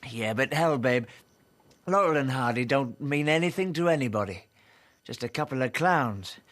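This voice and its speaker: A man's voice: medium pitch, animated, normal speed, fairly bright tone, lightly acted. An actor playing a young, troubled male comedian who is cynical and world-weary, in dramatic dialogue. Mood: bleak, agitated.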